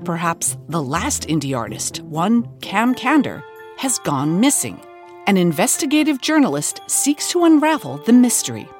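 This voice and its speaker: A woman podcast creator reads a scripted promotional introduction. Her delivery is playfully mock-serious, with very varied intonation over clearly audible music. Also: medium pitch.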